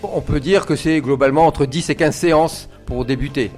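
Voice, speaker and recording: A man's voice: on the high side, moderate pace, darkish tone, soft background music. A man hosting an informal radio interview, putting questions to his guest as the interviewer.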